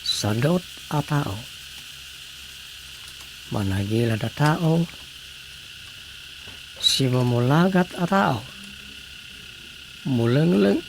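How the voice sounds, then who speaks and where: A man's voice: mid-range voice, animated, drawn-out words, gravelly, long pauses, slight background noise, heavily acted, rough recording. A man with an Ilocano accent playing a grumbling village official who mutters and muses to himself in a radio comedy drama. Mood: pondering, grumbling.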